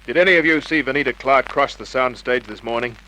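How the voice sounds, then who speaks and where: Cool, wry male investigator, an actor's role, mid-pitched, crisp forceful edge, old radio crime serial. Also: fast, natural voice. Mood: cool, terse. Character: cool, wry private investigator who narrates his case. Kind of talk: hard-boiled radio narration and acting. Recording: noisy old radio drama recording.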